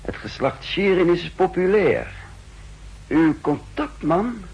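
A very breathy, husky man as a secretive intelligence official in an old radio spy play. He speaks deliberately and haltingly, with a fairly high pitch that moves widely as he confides. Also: big pitch swings, a little mellow, highs cut off, exaggerated voice. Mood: earnest, confiding. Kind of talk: 1960s Dutch radio drama acting. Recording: old 1960s radio drama recording.

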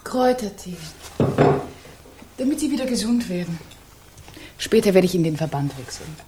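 An actress playing a composed, gentle young Frenchwoman in service, in a German radio crime play. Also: on the low side, expressive, moderate pace, a little hiss or hum, lightly acted. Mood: gentle, caring. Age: young adult.

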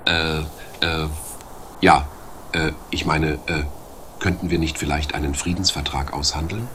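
A man playing a timid, defeated mayor in an improvised comedy radio play, halting and stammering as he talks. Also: rumbling low, fairly even intonation, fairly bright tone. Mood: meek. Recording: faint noise, amateur 1980s radio play.

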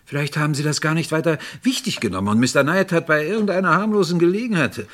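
A man playing a police inspector in a German radio crime drama, insistent but courteous, with measured, probing questions. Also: a little high, expressive, fairly bright tone. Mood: urgent, coaxing.

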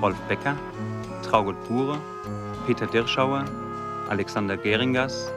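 A man's voice: mid-range voice, unhurried. A man announcing a radio play in a scripted style, reading titles and credits. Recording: loud music under the voice. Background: loud music, ticking.

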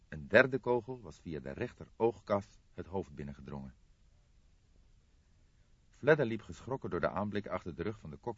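A man's voice: on the low side, breathy edge. A man narrating a crime novel as a scripted, dramatic audiobook reading. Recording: roomy echo, slightly muffled audio.

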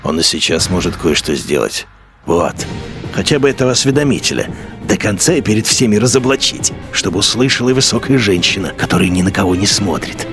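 A man's voice: deep voice, expressive, fairly quick. A man playing the bitter inner voice of a brooding collaborator in a radio drama. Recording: music behind the voice.

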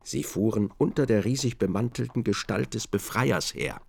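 A man reading scripted literary narration for a radio drama with precise, deliberate phrasing. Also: fairly low pitch, darkish tone. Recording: clearly noisy, vehicle ambience behind.

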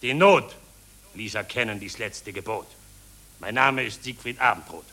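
An actor playing a pompous engineer-inventor who proudly declaims rhymed verse in a satirical radio play. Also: male voice, on the low side, moderate pace, bright, forceful tone. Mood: zealous, gloating. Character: pompous Berlin engineer-inventor proudly selling deadly plague.

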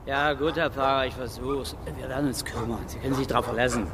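A man's voice: mid-range voice, steady tone, a little fast, vocal fry, natural voice. An actor playing a patient, slightly overwhelmed village policeman who explains things simply and colloquially in a radio drama. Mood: bemused, patient.

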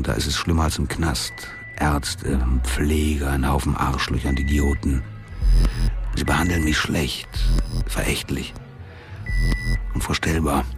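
An actor playing a coarse, cynical, ailing small-time crook, telling his story in a crime radio play. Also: extremely low pitch. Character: bitter, foul-mouthed, ailing small-time crook hunted by police. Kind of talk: first-person narration in a crime radio play.

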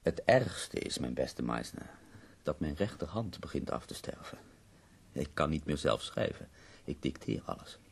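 Very deep, gravelly sardonic dying poet. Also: male voice, expressive, measured pace, a little hiss or hum, exaggerated voice. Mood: self-pitying. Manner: theatrical, wry, complaining. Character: dying bedridden poet, sardonic, self-pitying, witty. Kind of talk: radio play acting.

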